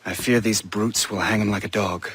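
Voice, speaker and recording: An actor playing a high-minded colonial-era army colonel in a game cutscene, speaking formally in a measured, gentlemanly manner. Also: male voice, steady tone, husky. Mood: concerned, urgent. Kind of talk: dramatic game cutscene dialogue.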